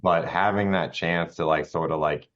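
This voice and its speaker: Man, extremely low voice, rambling casual feedback, halting delivery, slightly lo-fi audio. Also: steady tone, fairly bright tone. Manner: halting, rambling, self-correcting. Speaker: podcast co-host and tabletop player. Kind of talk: casual chat giving game feedback.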